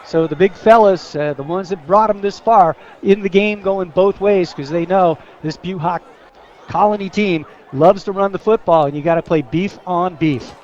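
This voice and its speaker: High-voiced American color commentator, dull radio sound. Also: male voice, normal speed. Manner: conversational, partisan for the home team. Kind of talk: live football analysis between plays. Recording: dull lo-fi sports radio broadcast.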